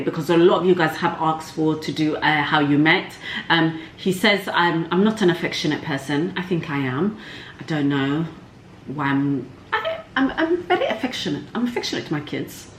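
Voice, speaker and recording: A woman chatting casually to viewers in a candid, cheeky, slangy way, like a vlog host. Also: sits low. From Somalia. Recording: echoey room, faint background noise.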